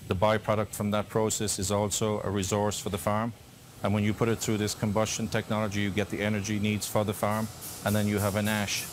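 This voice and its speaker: A man's voice: fairly low pitch, a little hiss or hum. A middle-aged man speaking as a company spokesman in an interview in a large industrial building. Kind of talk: interview soundbite.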